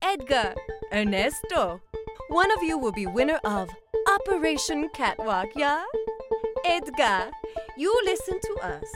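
A woman's voice: high-pitched, very animated, measured pace, over loud music. A woman playing a solemn, imperious fashion show hostess with a German accent, speaking broken English in clipped dramatic pronouncements. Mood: solemn, judging.

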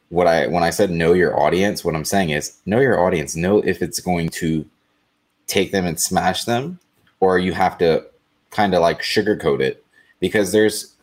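This man speaks from the bottom of his range in a deep, flat, monotone way. He is blunt and dry, laying out his view plainly on a live talk show. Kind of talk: casual live show discussion.

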